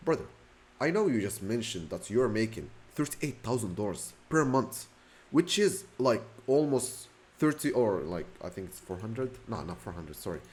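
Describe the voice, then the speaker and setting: A man's voice: fairly low pitch, darkish tone. A young man from Morocco, whose first language is Moroccan Arabic, speaks English with a Moroccan accent in a casual, rambling vlog, correcting himself often and speeding up in bursts. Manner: rambling, self-correcting, fast bursts with fillers. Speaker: vlogger. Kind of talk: casual talking-to-camera story.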